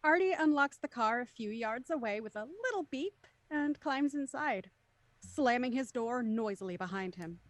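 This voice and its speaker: Woman reading stage directions for a radio drama, slightly high, dry close mic. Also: expressive, normal speed, dry recording. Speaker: narrator. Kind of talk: scripted narration of stage directions.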